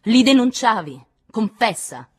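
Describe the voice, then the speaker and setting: A fierce young woman acted by a female audiobook reader bent on vengeance. She is loud and harsh, pitched up and husky, pressing every demand violently. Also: expressive, brisk. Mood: menacing, furious. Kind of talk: dramatic audiobook character acting.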